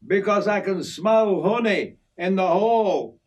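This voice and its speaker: A man voicing an excitable, indignant young lad from Yorkshire in a told story, with a Yorkshire accent and a plaintive manner. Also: sits high, unhurried, distant mic, highs cut off, pitched above the performer's own voice. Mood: curious. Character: excitable, indignant Yorkshire boy, new to the bush. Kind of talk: acted character in a narrated memoir.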